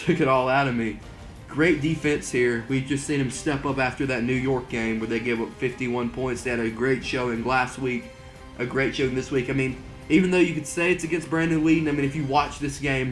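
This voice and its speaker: A young man doing excited mock broadcast commentary over a football video game, saying he is losing his voice. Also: medium pitch, brisk, soft background music. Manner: excitable play-by-play, rising to near-shouts on big plays. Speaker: gaming channel host doing play-by-play.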